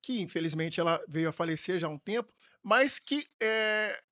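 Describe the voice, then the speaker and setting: Brazilian male host, middle-aged, high-pitched voice, casual chat, slightly dull recording. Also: animated, normal speed, pushed and bright. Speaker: podcast host. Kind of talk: casual podcast conversation.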